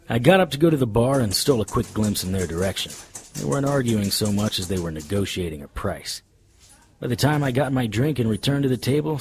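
An actor playing a wry, deadpan, hardboiled supernatural private investigator narrating a noir radio drama in a husky voice. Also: male voice, fairly low pitch, slight background noise. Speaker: narrator and lead.

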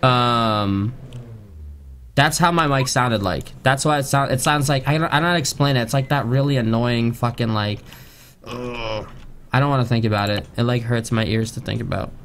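A man's voice: medium pitch, normal speed, a little hiss or hum. A young man streaming a video game, chatting casually and joking with plenty of swearing, recorded on a condenser studio mic. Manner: loud, profane, joking. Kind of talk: casual gaming stream chat and roleplay.

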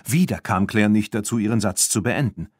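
A man narrating a children's audiobook in an animated storytelling manner. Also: on the low side, brisk, slightly rough, no echo, studio quality. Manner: animated storytelling, acting out dialogue.

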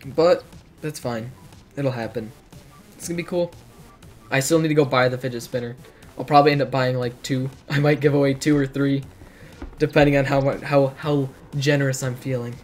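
A teenage boy, hoarse from hours of streaming, chatting casually and rambling while reacting to his chat in a dim bedroom. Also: medium pitch, steady tone, moderate pace, darkish tone, soft background music.

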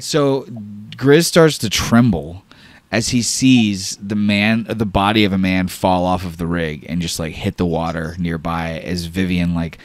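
A man's voice: deep voice, dry recording. A man narrating an improvised tabletop sci-fi horror game as game master, in a casual, profane, dramatic way.

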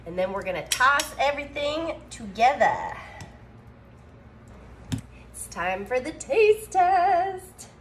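A woman in her thirties co-hosting a casual home cooking demo, giving clear step-by-step instructions. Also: high-pitched, very animated, takes it slowly, pauses often, fairly bright tone, slight background noise. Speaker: cooking show co-host. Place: home kitchen, indoors. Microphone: phone camera at counter distance.

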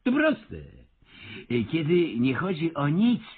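An actor playing a cocksure schemer in a radio comedy who lectures condescendingly as a self-styled theatre expert. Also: male voice, on the high side, big pitch swings, takes it slowly, breathy edge, full character voice. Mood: lecturing. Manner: condescending, lecturing, mock-erudite. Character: cocksure male schemer posing as avant-garde theatre expert. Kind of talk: Polish radio play comedy acting. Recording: slightly muffled, lo-fi radio recording.

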